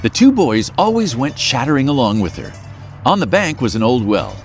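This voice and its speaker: A man reading a children's folktale aloud in a very expressive, playful storyteller manner. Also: medium pitch, normal speed, faint music behind.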